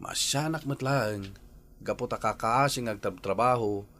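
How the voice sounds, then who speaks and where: An actor with a Filipino accent playing an earnest, worried nephew in a radio drama, pleading respectfully. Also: male voice, mid-range voice, measured pace, fairly bright tone, lightly acted. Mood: reproachful, concerned. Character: earnest, worried married nephew, gently reproachful.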